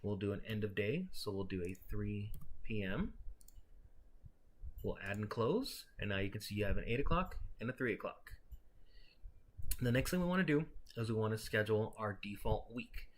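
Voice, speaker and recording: A man casually walking the listener through software setup steps in an explanatory tutorial style. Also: on the low side, expressive, normal speed. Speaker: software instructor. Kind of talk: step-by-step software tutorial narration. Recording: clearly noisy background with clicking.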